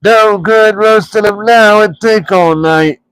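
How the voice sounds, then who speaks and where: A man putting on bickering high-pitched trolls. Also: slow, fairly bright tone, smooth, dry recording, rough recording. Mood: squabbling. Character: quarrelsome brutish male trolls, bickering.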